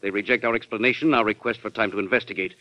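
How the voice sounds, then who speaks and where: An actor playing a formal, deferential and worried Secretary of State in an old radio drama, with an American accent. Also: male voice, fairly low pitch, fairly even intonation, very fast, lightly acted. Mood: grave, formal. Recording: dull lo-fi vintage radio recording.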